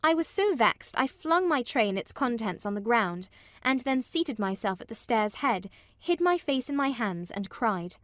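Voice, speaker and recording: A woman playing an unhappy young gentlewoman, recounting her troubles in measured literary narration for a dramatized audiobook. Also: a little high, big pitch swings, dry recording, studio quality. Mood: rueful recounting. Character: vexed, wretched young gentlewoman recounting in a letter.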